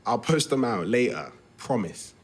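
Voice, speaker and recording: An actor playing an evasive young son, casual and placating, in a naturalistic audio drama. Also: male voice, mid-range voice, very animated, measured pace, husky. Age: young adult.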